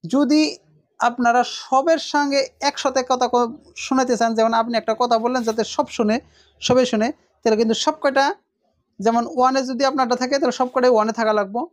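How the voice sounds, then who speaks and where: A woman talks very quickly in a slightly high voice. She explains things casually, as in a homemade unboxing, often repeating a point. The sound is close and dry, with little room echo. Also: steady tone. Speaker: product unboxing presenter.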